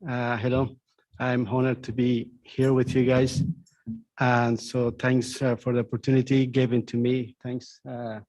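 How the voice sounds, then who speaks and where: Halting man from Afghanistan, flat-toned. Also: mid-range voice, low-quality recording. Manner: halting, many filler pauses. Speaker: refugee case manager. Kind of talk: public testimony at a council meeting.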